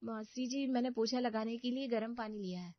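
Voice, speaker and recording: A woman's voice: on the high side, brisk, no room sound, natural voice. Woman acting polite, dutiful young daughter-in-law, dull sound. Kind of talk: Hindi family cartoon story voice acting.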